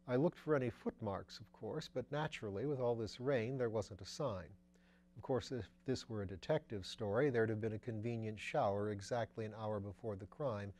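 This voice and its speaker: A steady, practical detective, voiced by a man in a storybook reading, speaking in a plain and matter-of-fact way. His voice sits a little lower and quieter than the lively characters around him. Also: lightly acted.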